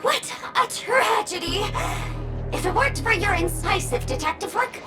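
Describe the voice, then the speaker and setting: Game role: playful girl detective, very high voice, wildly swooping mock-tragic delivery. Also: animated, normal speed, loud music behind the voice. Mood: theatrical mock sorrow. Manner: theatrical, exaggerated mock-tragic declaiming. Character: playful, melodramatic girl detective narrating a murder mystery. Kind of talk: theatrical video game voice acting.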